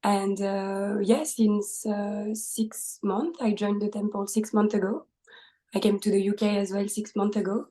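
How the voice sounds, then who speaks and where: A young woman with a French accent talks in a mellow, dark-toned voice that stays on a flat, even pitch. She answers questions casually, pausing often on fillers and laughing lightly between thoughts. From France. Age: 20s. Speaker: podcast guest. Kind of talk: casual interview conversation.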